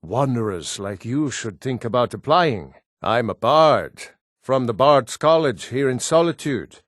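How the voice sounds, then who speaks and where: A man voicing an earnest Nord townsman in a fantasy video game, speaking scripted lines in a measured, formal way. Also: mid-range voice, lively intonation, no room sound, studio quality. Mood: matter-of-fact.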